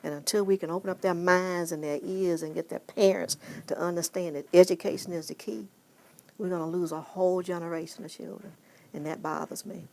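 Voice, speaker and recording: A woman's voice: on the low side, steady tone, a little fast, gravelly, a little mellow, low-quality recording. A woman giving an earnest documentary interview, speaking emphatically and repeating key phrases for weight.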